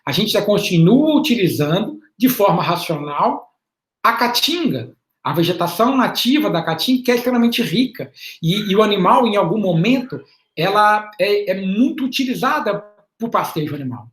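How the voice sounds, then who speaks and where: A man's voice: high voice, very animated. Man presenting, echoey room. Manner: halting, repeats words, often ends points with a questioning "right?"; explanatory, measured. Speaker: invited panelist presenting field research. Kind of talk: webinar presentation with slides. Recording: roomy echo on an online call.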